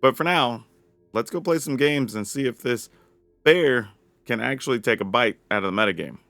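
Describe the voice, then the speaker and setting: A man with a raspy voice giving an upbeat, casual rundown of his card game deck as a channel host. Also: medium pitch, moderate pace, fairly bright tone, no room sound. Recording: dry close mic with faint music.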